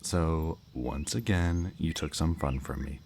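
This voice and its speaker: A man's voice: rumbling low, a little mellow, heavily acted. A man playing a smug, politely menacing kidnapper in an intimate ASMR roleplay, speaking to the listener in a teasing, mock-courteous way. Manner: slow, teasing, mock-courteous, lingering over words. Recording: faint background noise, chirping night crickets.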